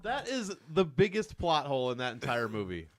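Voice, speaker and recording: An American man hosting a podcast, telling things in a joking, animated way with casual banter. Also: mid-range voice, normal speed. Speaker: podcast host.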